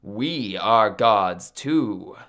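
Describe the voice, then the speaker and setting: A man's voice: lowish voice, animated, very slow, a bit bright, pitched below the performer's own voice, heavily acted. A man voicing a bold, boastful half-god hero in a storybook tale, with firm, declaiming delivery. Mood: indignant, defiant. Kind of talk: storybook character acting.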